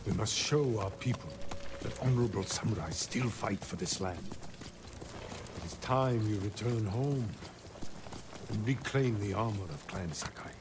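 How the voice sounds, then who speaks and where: An actor playing a stern, honor-bound samurai lord in a video game, speaking formally and with command. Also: male voice, on the low side, moderate pace, lightly acted. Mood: solemn. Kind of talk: dramatic video game acting. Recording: clear background noise, game ambience.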